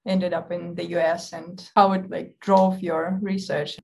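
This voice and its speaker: A woman's voice: lowish voice, little pitch movement, measured pace, creaky. A woman co-hosting an interview, prompting the guest with casual conversational questions. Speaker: co-host.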